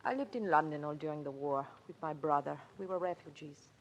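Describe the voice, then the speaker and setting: A woman's voice: sits low, fairly quick, rough recording. An actress playing a cool, guarded young refugee woman with a foreign accent in a 1940s radio crime drama. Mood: coy. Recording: old radio recording with faint noise.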